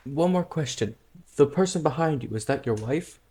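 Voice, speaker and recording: A man's voice: a little high, animated, moderate pace, a crowd in the background, noisy background, natural voice. A man playing a challenging press reporter in an amateur manga dub, asking pointed, formal questions.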